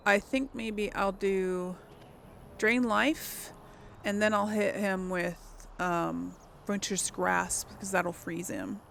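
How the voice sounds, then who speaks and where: Slow, creaky-voiced woman gamer thinking aloud. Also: medium pitch, fairly bright tone, slight background noise. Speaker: gaming video host. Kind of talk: casual let's play commentary.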